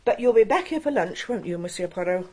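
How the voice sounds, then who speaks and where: A woman playing a brisk, reserved, frank spinster assistant in a radio drama, with an English accent and a husky, matter-of-fact delivery. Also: medium pitch, animated, a little mellow, close to an everyday voice.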